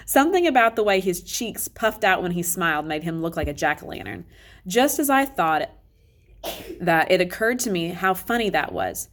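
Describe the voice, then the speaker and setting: Lower-pitched woman reading a story aloud. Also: big pitch swings, normal speed. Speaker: read-aloud reader. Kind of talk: expressive children's novel narration.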